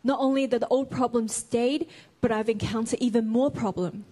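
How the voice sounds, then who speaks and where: A woman interpreting a sermon line by line, speaking in a careful, halting way and correcting herself as she goes. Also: a little high, steady tone, warm tone.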